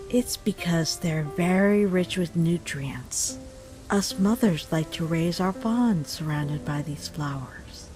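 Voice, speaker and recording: A woman voicing a wise, nurturing mother deer in an animated comic dub, gentle and unhurried with a teasing side. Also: fairly low pitch, poor audio.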